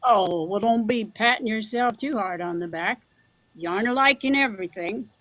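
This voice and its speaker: Actress as older rural Midwestern mother, dry dull sound, medium pitch, wry, teasing, plain-spoken, audio drama. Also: moderate pace, fairly bright tone, no room sound, close to an everyday voice. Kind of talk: audio drama acting, conversational.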